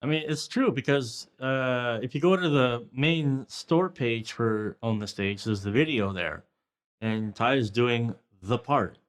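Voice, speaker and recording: A man in his late thirties hosting an interview, asking questions casually, swearing and thinking out loud. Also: medium pitch, a little slow. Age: 30s. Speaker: podcast host.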